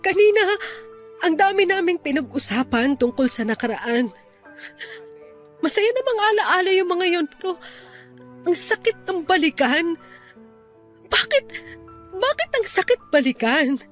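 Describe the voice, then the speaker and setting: A sorrowful lover torn between two men in a Filipino radio drama. Her very high voice is hesitant, tearful and pleading, with music behind it. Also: big pitch swings, unhurried, long pauses, full character voice. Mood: sad, confused.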